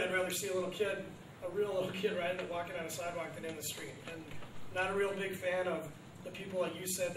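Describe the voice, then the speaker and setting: A man on a city council giving a blunt, wry, opinionated view at a public meeting. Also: high voice. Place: council chamber. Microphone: far room camera. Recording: echoey room from far off with background noise.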